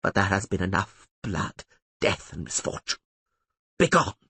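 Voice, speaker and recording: An imperious older archmistress sorceress, as a male audiobook narrator puts her on, her formal orders rising and falling widely in a husky voice. The recording is dry and close. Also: deep voice, sing-song, sharp tone, no room sound, full character voice. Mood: stern weary. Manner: commanding, formal, measured. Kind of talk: audiobook character reading.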